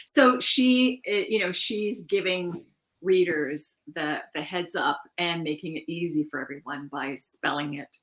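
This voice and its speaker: A middle-aged woman co-hosting a casual chat about writing, animated and quick to laugh, often restarting her thoughts. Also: fairly low pitch, low-quality recording. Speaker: podcast co-host.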